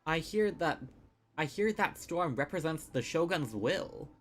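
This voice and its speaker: A woman's voice: low-pitched, lively intonation, a little slow. A woman giving casual live commentary as a game streamer, playful and reactive.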